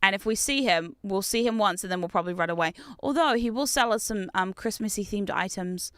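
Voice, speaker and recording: A woman's voice: mid-range voice, a little fast, clear, resonant tone, dry recording, clean studio sound. A young woman from New Zealand with a mixed New Zealand and British accent, chatting casually while livestreaming a game and reading chat aloud. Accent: mix of New Zealand and British. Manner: chatty, animated, reads chat aloud, laughs often. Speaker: game streamer.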